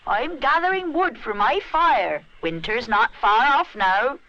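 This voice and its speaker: A woman's voice: a little high, expressive, unhurried, bright, forceful tone, muffled recording, pitched above the performer's own voice, heavily acted. A woman as a wise, folksy old granny. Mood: knowing. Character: very old, wise, kindly woman fortune-teller type.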